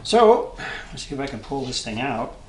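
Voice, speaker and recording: A man in his seventies giving a casual, unscripted hobby show-and-tell, halting and rambling as he thinks aloud. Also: medium pitch, big pitch swings. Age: 70s. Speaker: hobbyist vlogger showing vintage electronics. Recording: echoey room with clear background noise and clicks.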